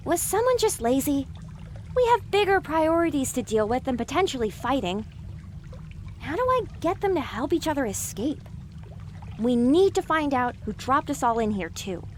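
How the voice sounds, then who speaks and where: A woman's voice: high voice, very animated, normal speed, a little hiss or hum. A woman playing an anxious, sarcastic young female pony muttering exasperated asides in an audio drama. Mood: puzzled, worried.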